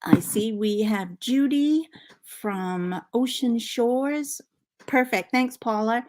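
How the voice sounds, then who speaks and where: A middle-aged woman from Liverpool with a Canadian accent and faint Liverpool traces, hosting a casual knitting live stream and chatting excitedly with many fillers. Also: on the high side, slow. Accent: Canadian English with faint Liverpool traces. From Liverpool, England. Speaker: knitting channel host. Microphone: laptop mic.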